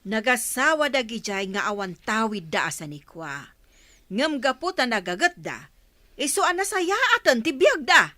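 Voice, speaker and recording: A woman's voice: fairly high pitch, sing-song, normal speed, bright, forceful tone, no room sound. A woman with an Ilocano accent playing a preachy, scolding village mother in a radio comedy drama. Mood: admonishing. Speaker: voice actor.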